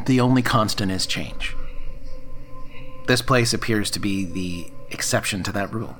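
Guy playing earnest weary diner owner, lowish voice, wry and explaining, audio drama, music underneath. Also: moderate pace, a bit bright, lightly acted. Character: earnest, exasperated man running a time-traveling diner. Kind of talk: audio fiction dialogue.

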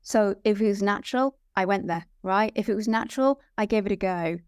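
A woman's voice: medium pitch, fairly quick, darkish tone, clear, resonant tone, no room sound, clean studio sound. A middle-aged woman with a Welsh accent, speaking conversationally as an interview guest, often repeating phrases for emphasis. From Wales. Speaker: podcast guest.

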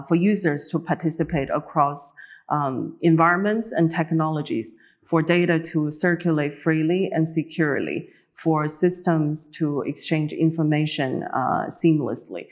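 A woman guest giving a careful explanation in an interview, with hesitant fillers between phrases. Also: low-pitched. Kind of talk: interview answer.

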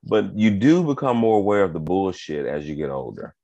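A man's voice: low-pitched, very animated, brisk, creaky, mellow, dull audio, slight background noise. A thirty-seven-year-old man co-hosting a podcast in casual chat, laid-back and teasing, swearing freely. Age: 30s. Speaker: podcast co-host.

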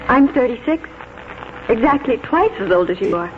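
An actress playing a kindly, reminiscing spinster schoolteacher in a 1940s radio drama, speaking tenderly and precisely. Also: female voice, a little high, sing-song, moderate pace, slight background noise. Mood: gentle. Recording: tinny 1940s radio, loud music behind.

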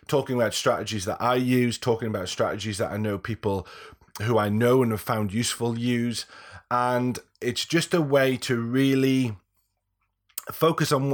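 A man hosts a podcast with a slightly croaky voice, as if he has a cold. He talks in a loose, rambling way, circling back and restarting his thoughts. His pitch sits in the middle range. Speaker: podcast host. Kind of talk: casual podcast talk.

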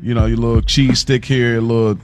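A man's voice: mid-range voice, level tone, a little fast. A man co-hosting a podcast in casual conversation, informal and meandering as he works toward his point. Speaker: podcast co-host. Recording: music bed under the voice. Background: music.

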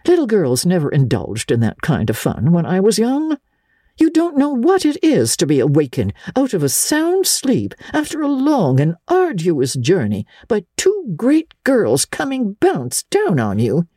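A crusty old lady of a classic storybook, acted by a woman, with a husky voice and wide swoops of pitch. She is blunt, stern and old-fashioned in the way she talks. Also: wildly swooping pitch, unhurried, mellow, dry recording, clean studio sound. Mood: severe.

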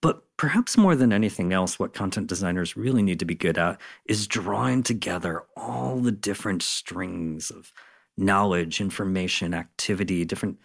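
A man's voice: deep voice, moderate pace, vocal fry. A man speaking as an interview guest, explaining things in an enthusiastic, conversational and emphatic way. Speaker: interview guest, content designer.

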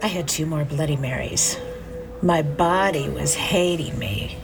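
A woman's voice: deep voice, a little slow, vocal fry, music in the background. A woman playing a guarded, self-deprecating divorced businesswoman in middle age, speaking dryly and confidingly in an audio drama. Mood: wry, confiding. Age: middle-aged. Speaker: lead actress and narrator. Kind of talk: confessional first-person narration and dialogue in audio drama.

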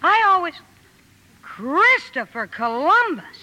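A woman playing an exuberant, blunt, warm-hearted teenage tomboy in old radio drama style, brisk and full of exclamations. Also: very high-pitched, big pitch swings, long reverb tail, muffled recording, heavily acted. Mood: exuberant, friendly. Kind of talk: 1940s radio drama acting. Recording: hissy old 1950 radio broadcast.

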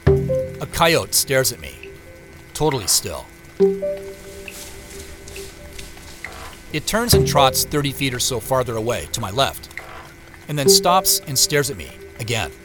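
A man with an American accent playing a reflective, wry solitary traveler who narrates an audio drama in the first person, with measured storytelling and muttered casual asides. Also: medium pitch, very animated, natural voice. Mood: quietly tense. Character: male solitary traveler, reflective, wryly talking to himself. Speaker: narrator and lead character. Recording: music behind the voice.

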